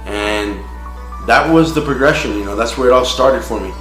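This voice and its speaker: A man from Miami sharing his personal recovery testimony in a frank, halting way, with many "you know" fillers. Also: medium pitch, fairly bright tone. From Miami, United States. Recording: roomy echo with faint music.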